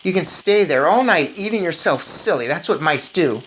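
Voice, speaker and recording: Woman as earnest mouse boy, lively melodic delivery. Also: lowish voice, moderate pace, rough recording. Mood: coaxing. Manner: earnest, explaining and coaxing. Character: sensible, practical young boy turned mouse, story's narrator hero.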